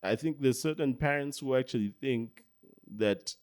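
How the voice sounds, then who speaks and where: A Zambian man hosting a casual panel chat, animated and often laughing. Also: medium pitch, normal speed, clear, resonant tone. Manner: animated, laughs often, mimics people in quotes. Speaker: podcast host.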